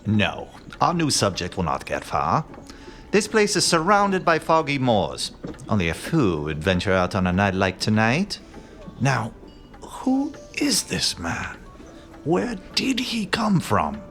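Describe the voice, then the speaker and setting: An actor playing an aloof, pompous gentleman detective in a radio play, speaking in measured, theatrical phrases. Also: lowish voice, huge pitch swings, exaggerated voice. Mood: haughty. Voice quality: haughty, measured, theatrical pauses. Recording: faint music behind.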